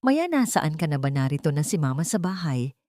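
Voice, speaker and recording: A woman's voice: deep voice, very animated, fairly quick, a little mellow, no echo, studio quality. A woman voicing a controlling husband with pushy, fake sweetness in an audio story. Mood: falsely sweet, impatient. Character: controlling adult husband, sugary and falsely sweet. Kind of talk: audio storybook character dialogue.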